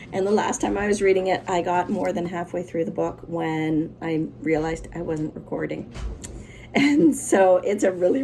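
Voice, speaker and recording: A woman's voice: medium pitch, mellow, slight background noise. A woman teacher doing a children's read-aloud, with simple, encouraging explanations for young listeners.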